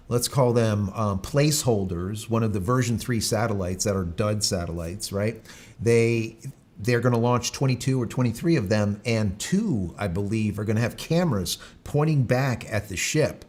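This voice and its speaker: A man hosting a live stream, chatting casually, rambling, with many fillers and restarts. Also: mid-range voice. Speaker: livestream host.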